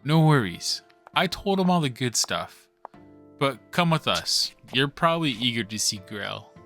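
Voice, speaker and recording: A man's voice: medium pitch, big pitch swings, a little slow, a bit bright, soft background music. A man playing a breezy, talkative reporter in a radio drama, speaking in a casual, rambling way. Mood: upbeat, reassuring.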